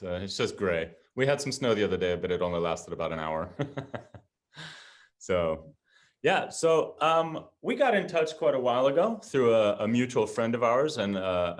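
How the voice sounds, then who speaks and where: A man hosting an interview, talking in a loose, friendly way with many fillers. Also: fairly low pitch. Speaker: podcast host. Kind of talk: casual interview chat. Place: home in Amsterdam.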